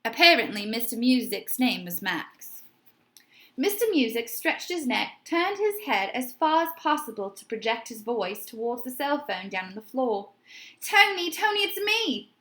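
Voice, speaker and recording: A woman narrates a children's story in a high voice. Her reading is very animated and expressive, and she pitches questions to young listeners like a teacher. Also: normal speed.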